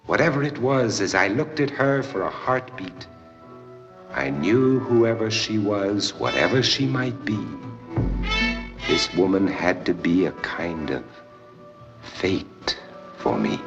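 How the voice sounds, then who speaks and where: Deep-voiced, world-weary hard-drinking adventurer. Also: male voice, lively intonation, slow pace, pauses often. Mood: brooding, feverish. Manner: sardonic, theatrical, lyrical. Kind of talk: old film acting with poetic first-person narration. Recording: muffled old film soundtrack, faint music.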